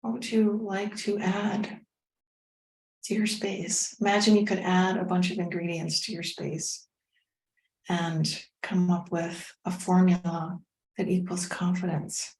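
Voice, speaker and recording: A woman leading a guided meditation as a teacher, speaking in a gentle, unhurried, soothing way with pauses. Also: on the low side, level tone. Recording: roomy, echoing sound.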